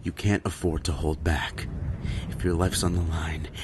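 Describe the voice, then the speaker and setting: A man's voice: low-pitched, flat delivery, normal speed, background noise, lightly acted. A man playing a stern squad commander who gives curt orders. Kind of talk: fan dub of a sci-fi anime parody. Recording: loud music behind.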